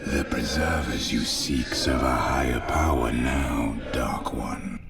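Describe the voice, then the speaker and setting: An actor playing a corrupted ancient alien guardian spirit, speaking in a slow, grave, commanding voice. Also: male voice, a bass voice, fairly even intonation, vocal fry, over loud music, heavily acted. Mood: menacing. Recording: distant voice in the mix.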